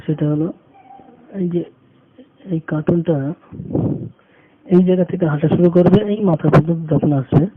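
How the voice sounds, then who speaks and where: Male tutorial instructor, higher-pitched voice, halting unscripted delivery, repeated phrases, tinny phone-quality audio. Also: level tone, breathy edge. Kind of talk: unscripted step-by-step screen tutorial narration. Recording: muffled phone-like audio with background noise.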